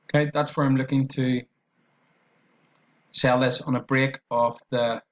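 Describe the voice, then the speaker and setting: A man's voice: medium pitch, level tone, measured pace, rough recording. A man with an Irish accent talking through a trading tutorial on screen, in a loose, repetitive way with fillers and restarts. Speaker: trading educator.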